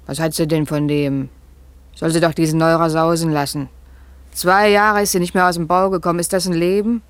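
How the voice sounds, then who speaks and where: Casting: woman to play sharp-tongued young mother, scheming and defiant, offhand delivery for radio drama. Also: low-pitched, level tone, brisk, no echo, close to an everyday voice. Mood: spiteful, needling. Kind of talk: German radio play acting.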